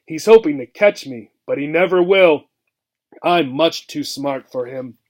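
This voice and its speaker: A man voicing a gruff, bitter old mouse in a storybook, scornful and bent on revenge. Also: a little high, expressive, measured pace. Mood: gleefully vengeful. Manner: scornful, emphatic.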